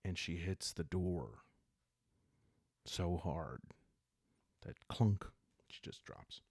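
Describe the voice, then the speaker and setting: A man calmly narrating a solo role-playing game in a hushed, relaxing way, musing aloud as he goes. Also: deep voice, very slow, slightly rough, dry recording, studio quality.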